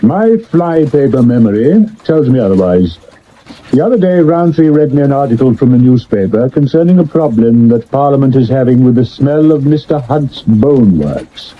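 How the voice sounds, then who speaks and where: A man playing an urbane, self-assured Victorian gentleman sleuth in a period radio drama, dry and witty. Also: medium pitch, expressive, moderate pace, natural voice. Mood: wry, assured. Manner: dry, witty, commanding, lofty. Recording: muffled 1970s medium wave radio recording.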